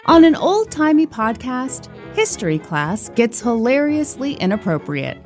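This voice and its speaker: A woman's voice: fairly high pitch, big pitch swings, unhurried, a little mellow, soft background music. A woman hosting a podcast, reading a scripted promotional spot.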